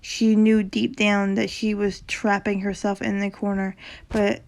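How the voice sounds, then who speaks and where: Woman with a sore, creaky throat. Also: medium pitch, little pitch movement, measured pace, darkish tone. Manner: stumbles and corrects herself while reading; reacts casually between passages. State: sore throat, slight rasp. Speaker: horror story reader. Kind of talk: casual horror story reading.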